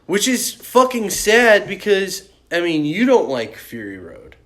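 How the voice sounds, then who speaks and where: A man's voice: high-pitched, big pitch swings, a little slow, a bit bright. A man co-hosting a podcast, chatting casually with friends about entertainment in a profane, opinionated way. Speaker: podcast co-host. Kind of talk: casual movie discussion among friends.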